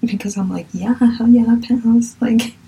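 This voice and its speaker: A woman's voice: on the high side, steady tone, unhurried, creaky, dark tone, husky. A young woman from Virginia with a Southern accent, chatting candidly as a podcast host, swearing casually and sometimes dropping to a whisper. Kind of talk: casual spiritual chat.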